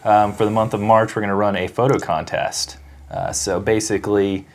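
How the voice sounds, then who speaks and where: A man in casual chat explaining a photo contest, halting with fillers. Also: lowish voice, steady tone. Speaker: podcast guest from beer app.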